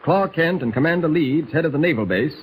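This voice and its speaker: A man's voice: a little high, animated, brisk, lightly acted. A man narrating a 1940s radio serial as its announcer, formal, dramatic and emphatic to build suspense. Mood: expository. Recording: dull, slightly noisy 1940s radio recording.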